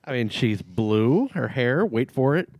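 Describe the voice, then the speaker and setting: A man joking around with friends on a casual podcast, quick with comebacks. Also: medium pitch, lively intonation, a little mellow, dry recording. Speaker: podcast co-host.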